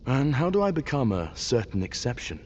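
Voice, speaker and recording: An actor playing a terse, determined errand-runner in a video game, direct and wry. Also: male voice, medium pitch, sing-song, brisk, a little mellow, a little hiss or hum, close to an everyday voice. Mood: businesslike. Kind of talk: video game cutscene acting. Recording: slightly muffled audio.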